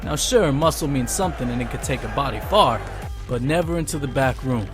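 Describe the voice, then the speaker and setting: Man as a wary gangland henchman, mid-pitched. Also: lively intonation, brisk, over music, close to an everyday voice. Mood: reflective. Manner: plain, confiding, noir-style narration. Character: wary young male henchman, hard-boiled, ambitious narrator. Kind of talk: motion comic narration and dialogue.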